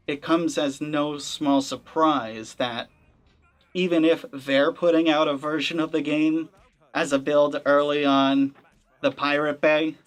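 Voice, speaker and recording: A young man hosts a gaming video, talking straight to the camera in a loose, conversational way. He sounds skeptical and drops wry asides. His voice sits at an ordinary middle pitch. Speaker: gaming channel host. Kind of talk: casual commentary to camera. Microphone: camera-facing setup.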